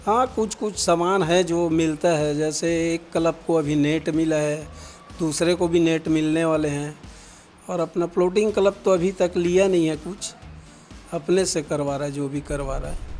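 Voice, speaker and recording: Man, slightly high voice, village representative, informal interview, light music behind. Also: steady tone, normal speed, a little mellow. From village in Chhattisgarh, India.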